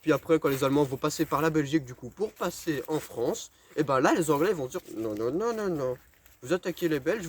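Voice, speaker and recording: A man's voice: a little high, a little mellow. A young man explaining history in a mock-educational comedy sketch, in a crude, swearing, schoolmasterly way. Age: teens or young adult. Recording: homemade video with faint noise.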